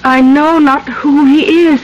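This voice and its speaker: An actress playing a gentle young daughter in a trance, calling out slowly and plaintively in an old-time radio drama. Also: female voice, high-pitched, fairly even intonation, lo-fi sound. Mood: entranced, pleading. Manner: slow, drawn-out, plaintive calling. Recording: noisy old radio recording.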